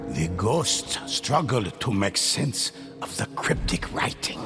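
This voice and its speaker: A man narrating a dark game story in a grave, measured way. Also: fairly low pitch, big pitch swings. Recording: music under the voice.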